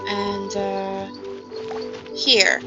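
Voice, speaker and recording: A woman's voice: mid-range voice, monotone, extremely slow. A woman giving casual, rambling video game commentary, thinking aloud as she plays. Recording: dull audio with game music. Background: video game music.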